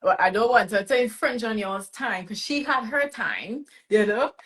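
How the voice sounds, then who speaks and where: A woman hosting a casual interview podcast, animated and teasing, laughing often. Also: on the high side, moderate pace, a loud crowd. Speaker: podcast host.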